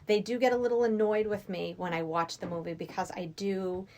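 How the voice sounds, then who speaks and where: A woman's voice: mid-range voice, steady tone, fairly quick, a little mellow, a little hiss or hum. A middle-aged woman chatting casually as a podcast guest, telling anecdotes and voicing what people said. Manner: anecdotal, acts out quoted dialogue. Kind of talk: casual film discussion.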